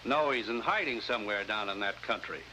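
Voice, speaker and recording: Brisk American commissioner, bright firm voice. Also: male voice, lowish voice. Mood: brisk, businesslike. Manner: brisk, businesslike, dry. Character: brisk, authoritative male intelligence commissioner. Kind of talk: 1950s American adventure drama acting. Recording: faintly noisy vintage 1950s TV soundtrack.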